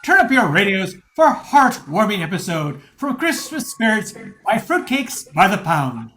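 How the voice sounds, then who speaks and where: A woman's voice: mid-range voice, big pitch swings, normal speed, fairly bright tone, soft background music. A woman playing a folksy radio announcer, delivering scripted narration and sponsor copy in old-time style. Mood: cheery selling.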